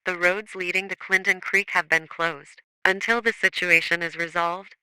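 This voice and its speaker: A man playing a grave government emergency broadcast announcer, reading a scripted alert in a formal, measured, official manner. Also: sits high, bright, forceful tone, clean tone, no room sound, full character voice, low-quality recording.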